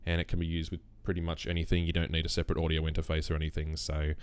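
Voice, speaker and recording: A young man with a bassy voice explaining his recording setup in a relaxed, rambling way, close on a USB condenser mic on a boom arm. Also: racing, clean tone, no echo. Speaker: YouTube gaming creator. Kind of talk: casual screen-recorded tutorial.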